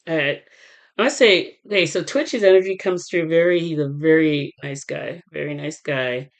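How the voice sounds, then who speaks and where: A woman tarot reader talking casually to her viewers, halting with many fillers and restarts while getting over a cold. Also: sits low, creaky. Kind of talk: casual rambling vlog talk.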